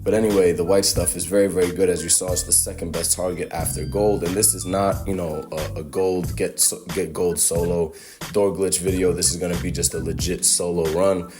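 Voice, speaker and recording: Low-voiced man, a bit hoarse, over game music. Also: little pitch movement, fairly quick. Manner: laid-back, chatty, with many fillers. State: slightly hoarse from a cold. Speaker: gaming guide creator. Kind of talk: casual game walkthrough commentary.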